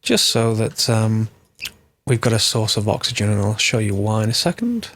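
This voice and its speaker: A man, slightly hoarse from a cold, giving a casual game tutorial and thinking aloud as he corrects himself. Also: on the low side, big pitch swings, normal speed, fairly bright tone.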